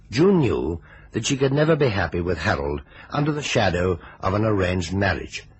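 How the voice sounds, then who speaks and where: An actor playing a thoughtful, measured detective agency boss with a husky voice in an old-time radio mystery. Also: male voice, low voice, full character voice. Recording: vintage radio recording.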